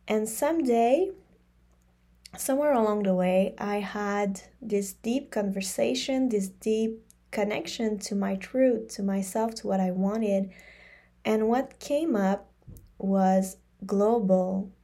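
A woman whose first language is French, hosting a solo podcast in a slow, reflective monologue that repeats phrases for emphasis. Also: medium pitch, dark tone. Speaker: podcast host.

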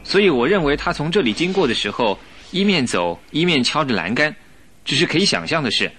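An actor playing an earnest police inspector in a radio drama, opinionated yet easily flustered, explaining himself defensively. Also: male voice, fairly high pitch, sing-song, brisk, fairly bright tone, a little hiss or hum.

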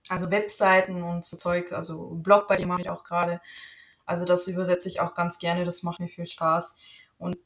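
A woman's voice: on the low side, monotone, moderate pace, a bit bright, reverberant. A young woman whose first language is Italian, chatting casually with many fillers as an interview guest. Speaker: interview guest, office assistant and freelance translator.

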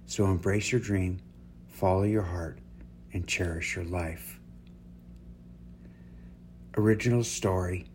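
A man narrating a scripted inspirational story in a measured, deliberate way with pauses between phrases. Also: sits low, darkish tone. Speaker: story reader, host. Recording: noticeable background noise and hum.

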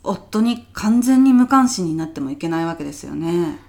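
A woman's voice: fairly low pitch, lively intonation, normal speed, creaky, dark tone. A woman playing a middle-aged housewife in a comedic radio sketch, reacting with exaggeration, easily irked and easily impressed. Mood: agreeing. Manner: exaggerated reactions, colloquial feminine speech. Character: married housewife, easily irked by husband, gushing and gullible.

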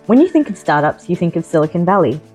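A woman host reading a scripted show introduction in an upbeat, measured way. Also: lowish voice, sing-song, warm tone, husky, rough recording. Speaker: podcast host. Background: music.

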